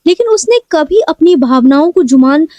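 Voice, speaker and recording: A woman tells a scripted dramatic story in a high voice. The tone is mellow and rounded rather than sharp. The sound is dry and close, with no room around it. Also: expressive, moderate pace, no room sound.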